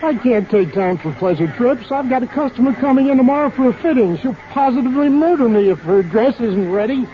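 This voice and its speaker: An actor playing a fussy, frightened little tailor in an old radio drama, fretful, flustered and polite. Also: male voice, very high-pitched, animated, a little fast, hardly any pauses. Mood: flustered, alarmed. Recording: dull, noisy vintage radio recording.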